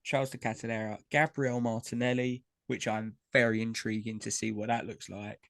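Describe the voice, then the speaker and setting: A man talks casually on a podcast, rattling through lists of names with plenty of fillers. His voice sits at a middle pitch. The recording is dry and close, with no room sound. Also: little pitch movement, normal speed, vocal fry, no echo. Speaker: podcast co-host. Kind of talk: casual chat about trading cards.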